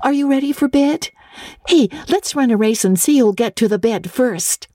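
Woman as young girl, high pitch, breathy, rapturous, earnest, dramatic storybook character. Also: moderate pace, dark tone, no echo, studio quality, lightly acted. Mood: dignified.

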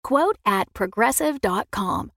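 Creaky-voiced woman reading an advert. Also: mid-range voice, expressive, slow pace, fairly bright tone, dry recording, studio quality. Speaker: advertising announcer. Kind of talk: scripted ad read with disclaimer.

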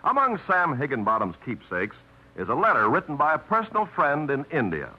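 A man's voice: fairly high pitch, wildly swooping pitch, fairly quick, slightly rough. A man announcing a 1950s radio drama in an earnest, declamatory style, on a dull old radio recording.

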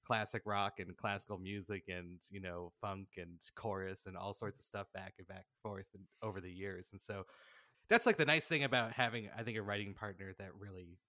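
A man's voice: deep voice, fairly even intonation, fairly quick, pushed and bright, dry recording. A man speaking casually as a podcast guest, explaining creative work in a conversational way. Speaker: podcast guest, audio drama writer-director. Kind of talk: casual conversational interview answers.

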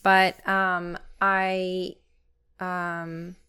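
A woman with a smooth, clear voice sitting as a guest in an interview. She is a nurse and lays out her experiences in a steady, matter-of-fact way. Her voice sits a little on the low side. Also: drawn-out words. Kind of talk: interview answers.

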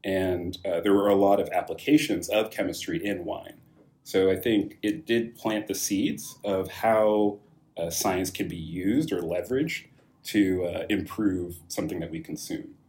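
A man's voice: low voice, measured pace, darkish tone. A young man from California, answering interview questions in a casual, thoughtful way, with many fillers and restarts and light laughs. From California, USA. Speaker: guest, wine company founder.

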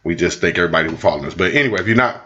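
A man in his late forties talks easily and playfully as a podcast co-host. His voice sits low. The recording sounds dull and lo-fi. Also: rapid-fire. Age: 40s. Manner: relaxed, joking, sings snatches of songs. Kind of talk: casual chat.

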